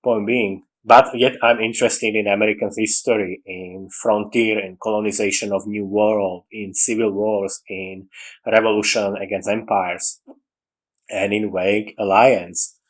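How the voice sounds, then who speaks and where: A man with a Slovak accent, English being his second language, talks in an unscripted, meandering way. His voice sits on the lower side. He often restarts sentences and leaves out small words. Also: little pitch movement, measured pace, low-quality recording. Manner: rambling, with frequent restarts and dropped articles. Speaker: writing podcaster. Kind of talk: casual monologue on story writing.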